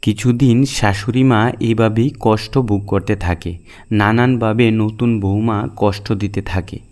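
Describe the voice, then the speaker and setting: A man's voice: on the low side, steady tone, unhurried, darkish tone, slight background noise. A man reading scripted narration as the storyteller of a folk tale. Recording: music behind the narration.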